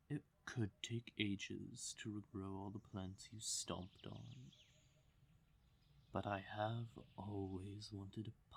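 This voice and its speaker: A man's voice: on the low side, gravelly, a little hiss or hum. A man voicing a haughty, teasing fae king of the forest in a fantasy audio roleplay, talking down to the listener with theatrical menace and dropping often into a whisper. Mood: haughty, amused. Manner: condescending, slow purring menace, theatrical.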